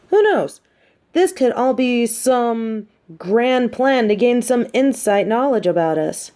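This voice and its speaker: A woman's voice: fairly high pitch, dark tone. A woman playing a smug, mean but sympathetic supervillain captor in an audio roleplay, talking in a taunting, theatrical, conversational way. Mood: suspicious, musing. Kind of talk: roleplay audio drama monologue.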